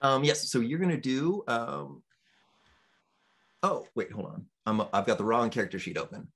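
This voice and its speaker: A man running a tabletop role-playing session as game master, encouraging the players and pausing often with ums. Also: mid-range voice, big pitch swings, fairly bright tone, breathy edge. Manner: encouraging, hesitant with frequent ums.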